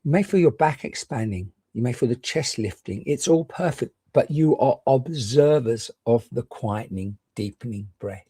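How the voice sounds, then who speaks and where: A man gently and reassuringly guiding a meditation, leaving unhurried pauses between short phrases. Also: mid-range voice, animated, breathy edge, no echo, clean studio sound. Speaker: meditation guide. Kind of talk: guided meditation.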